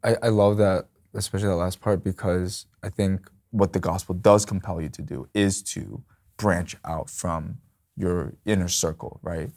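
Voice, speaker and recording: A man's voice: low-pitched, steady tone, moderate pace, a little mellow. A man in a church panel responding to another speaker with enthusiastic agreement. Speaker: discussion panelist. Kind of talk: candid conversation.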